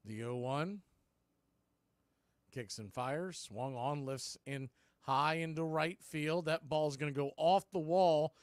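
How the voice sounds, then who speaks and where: A man calls baseball live for a radio-style stream. His voice sits a little high, with folksy, punchy delivery. The sound is close and dry, with no room around it. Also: slow pace, clear, resonant tone, no echo. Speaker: baseball play-by-play host. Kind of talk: live radio sports commentary.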